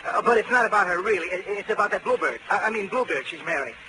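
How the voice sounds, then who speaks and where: An actor playing a hungover, befuddled client who stammers and frets nervously in a 1940s radio drama. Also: male voice, high-pitched, animated, fast. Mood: anxious. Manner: stammering, groaning, nervous delivery. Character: hungover, jittery male client, fretful and befuddled. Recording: noisy vintage 1940s radio recording.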